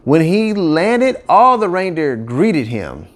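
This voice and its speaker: A man narrating a scripted children's story with expressive, emphatic phrasing. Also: fairly high pitch, slow pace.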